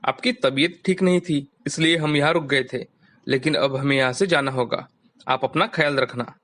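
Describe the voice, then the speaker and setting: A man's voice: mid-range voice, normal speed, fairly bright tone, lightly acted. A man playing an earnest, dutiful adult son who protects his wife in a cartoon moral story. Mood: resigned.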